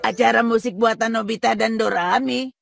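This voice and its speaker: A woman voicing a proud, sulky male cartoon robot cat, a grumpy older brother with a gravelly voice. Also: fairly high pitch, steady tone, moderate pace, music in the background. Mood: sulky, indignant. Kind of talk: animated cartoon dub acting.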